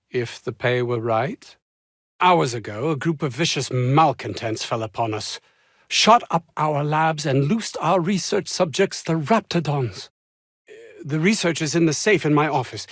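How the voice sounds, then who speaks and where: A man's voice: fairly high pitch, no echo, studio quality, full character voice. An actor playing a pompous, anxious, self-important male research scientist in a video game, speaking in wordy, formal phrases with flustered hesitations. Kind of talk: video game character dialogue.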